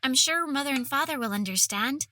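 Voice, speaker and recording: An actress playing a gentle, cautious young village girl, a little sister in a fantasy audio drama. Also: high-pitched, animated, a little fast, slight background noise. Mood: reassuring.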